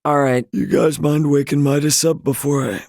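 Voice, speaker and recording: A man's voice: on the high side, steady tone, normal speed, creaky, darkish tone, no room sound, clean studio sound. An actor voicing a brash, loyal young male spaceship captain in a video game, with an American accent and a casual, cocky, emphatic way of talking. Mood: earnest, casual.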